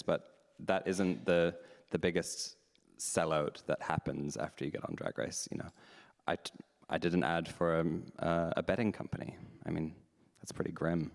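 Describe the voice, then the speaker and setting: A man's voice: sits low, gravelly. A man hosting a live panel talks frankly and candidly, thinking aloud as he answers audience questions. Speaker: panel host, drag performer. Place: meeting house hall. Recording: long hall reverb.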